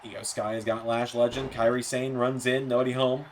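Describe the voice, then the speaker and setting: Man, wrestling play-by-play commentator, slightly hoarse, mid-pitched voice, light background hiss. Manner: rapid play-by-play, rising excitement on near-falls. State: losing his voice, softer than usual.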